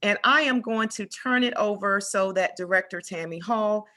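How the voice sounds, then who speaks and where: Bright-voiced woman moderator. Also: mid-range voice, normal speed. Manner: gracious, thanking speakers and handing over. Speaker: forum moderator. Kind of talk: closing remarks.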